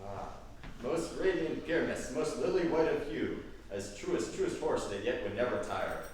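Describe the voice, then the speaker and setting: A bashful, dim amateur player acted by a woman recites a maiden's part clumsily, with pitch that swoops widely. The stage voice is distant and very echoey. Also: very animated, normal speed, a little mellow. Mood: nervous reciting. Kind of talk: staged reading of Shakespeare comedy. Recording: roomy echoing hall, distant mic, faint noise.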